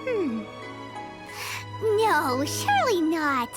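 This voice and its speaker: A woman voicing a tiny, chirpy fairy girl companion in a video game, with a squeaky, childlike, exclaiming delivery. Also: very animated, very slow, heavily acted. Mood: thoughtful, animated. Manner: childlike, exclaiming, refers to self in third person. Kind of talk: video game character dialogue. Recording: loud game music behind. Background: loud tinkling game music.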